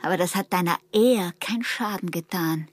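Actress as a husky, taunting young Austrian peasant wife. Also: female voice, fairly low pitch, moderate pace, no room sound, lightly acted. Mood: scornful. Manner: mocking, provocative. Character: young defiant, teasing peasant wife, sensual and scornful. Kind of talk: radio drama acting in rural dialect.

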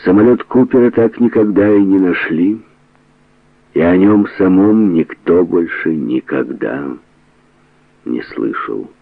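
A man reading a novel aloud as a scripted, dramatic narration, expressively and with deliberate pauses. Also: low-pitched, lively intonation, vocal fry, no echo, narrow, tinny audio. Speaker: audiobook narrator.

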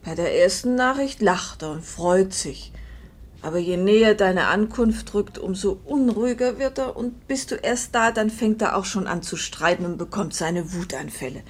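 Woman acting worn, protective mother, middle-aged, mid-pitched, earnest, pleading, emphatic, vintage 1950s German radio play. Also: moderate pace, husky, close to an everyday voice. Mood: gentle reproach. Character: weary, protective older mother defending her exhausted husband.

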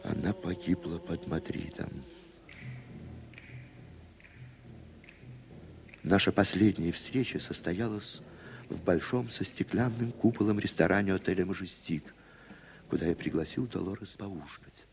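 An actor playing a wry, educated middle-aged playwright, measured and courteous, in 1960s Soviet radio drama style. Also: male voice, deep voice, vocal fry, a little hiss or hum. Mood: wistful.

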